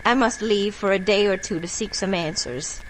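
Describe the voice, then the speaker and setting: A woman's voice: medium pitch, normal speed, slight background noise, close to an everyday voice, low-quality recording. An actress playing a kindly, knowing folk healer woman, motherly and commanding, in an audio horror drama. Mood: grave, urgent.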